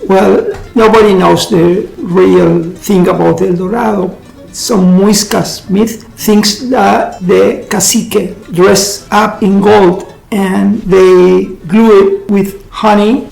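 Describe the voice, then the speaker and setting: A middle-aged man from Colombia, his first tongue, speaks slowly and with care in a heavily gravelly voice. He sits in a roomy, echoing space with faint music under him. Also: high-pitched, a little mellow. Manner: slow, halting, careful phrasing. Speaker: guest. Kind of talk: interview answers.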